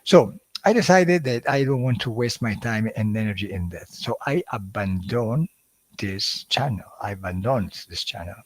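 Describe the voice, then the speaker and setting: A man with an Italian accent hosting a live stream, talking in a halting way with many fillers and restarts. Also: lowish voice, expressive, no room sound. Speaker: hypnosis channel host. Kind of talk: casual livestream talk.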